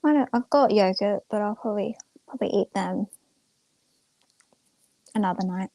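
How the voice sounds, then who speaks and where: A woman's voice: mid-range voice, moderate pace, mellow, dry recording, poor audio. A young woman chatting casually to viewers on a livestream in a rambling, informal, self-effacing way. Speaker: live streamer.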